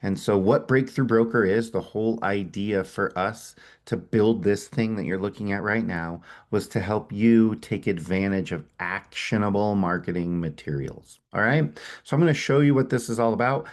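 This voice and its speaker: A middle-aged man with a cold giving an energetic webinar sales presentation as a company founder, often repeating words as he restarts. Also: fairly low pitch, normal speed, few pauses. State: has a cold, sniffling.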